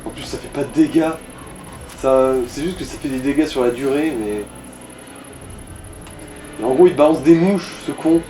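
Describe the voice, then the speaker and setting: A man's voice: mid-range voice, normal speed, pauses often, mellow, faint music behind, rough recording. A man chatting casually as co-commentator on a video game, advising the player in slangy, mildly crude language. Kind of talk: casual gaming chat.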